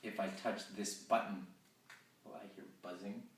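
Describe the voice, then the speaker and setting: A commanding romance hero, read by a man, deep and unhurried with a teasing edge. The voice sits far from the mic in a very echoey room, with a faint hiss underneath. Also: fairly even intonation, gravelly. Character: confident seductive adult man, a lover. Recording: roomy, distant, faint hiss.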